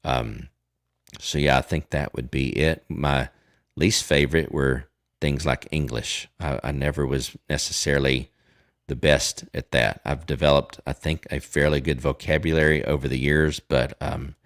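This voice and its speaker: A man in his forties speaks with a Texas Southern accent in an extremely low voice. He talks loosely and rambles, filling gaps with ums and starting sentences over. The sound is close and completely dry. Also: fairly even intonation, normal speed, no room sound. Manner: rambling, many fillers and restarts. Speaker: livestream host. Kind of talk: casual chat.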